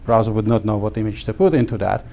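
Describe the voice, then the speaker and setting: A middle-aged man from Armenia teaching a class, talking haltingly with many fillers and restarts. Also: on the low side, gravelly, mellow, highs cut off. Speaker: instructor. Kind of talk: classroom lecture. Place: small classroom.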